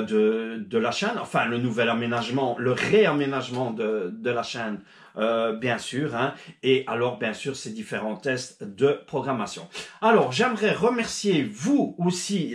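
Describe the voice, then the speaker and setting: Male channel host, a bit stuffed up from a cold, chatty with lots of hesitations, recorded in a reverberant room. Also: on the high side. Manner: rambling, many fillers and restarts. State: recovering from a cold. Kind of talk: casual video talk to subscribers. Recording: echoey room.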